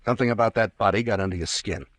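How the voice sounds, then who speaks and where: An actor playing a stern, sardonic police captain who presses hard with questions in old-time radio drama style. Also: male voice, on the low side, very fast, no echo, clean studio sound, natural voice. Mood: stern, accusing. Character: stern, relentless police captain interrogating a suspect.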